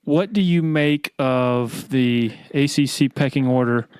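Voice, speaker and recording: A male podcast host puts casual sports questions to a guest. His voice is smooth and clear, sitting at a middling pitch. The recording is dry, with no room sound. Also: slow pace, darkish tone, no echo.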